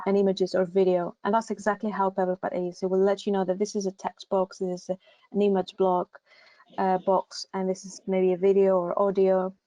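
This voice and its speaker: A Greek woman with a Greek accent, talking in English as her second language, gives casual advice with plenty of fillers and restarts. Her pitch stays very level. The sound is muffled and dry. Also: dark tone, no room sound. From Greece. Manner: hesitant, many fillers and restarts. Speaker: university recruitment assistant.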